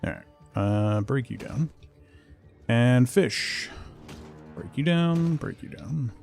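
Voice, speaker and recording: A man's voice: mid-range voice, lively intonation, takes it slowly, gravelly, a little mellow, soft background music. A man doing casual solo commentary on a gaming stream, muttering to himself with playful asides. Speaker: game streamer.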